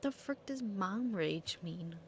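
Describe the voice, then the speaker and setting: Woman game streamer, slow delivery, animated pitch, playful, blunt, slangy, casual commentary. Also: slight background noise.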